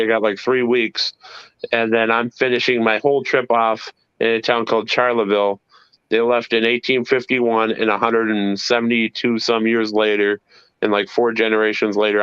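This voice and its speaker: A man's voice: fairly low pitch, flat delivery, moderate pace, fairly bright tone, smooth, no room sound, muffled recording. Eager rambling North Carolina man. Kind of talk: casual livestream chat.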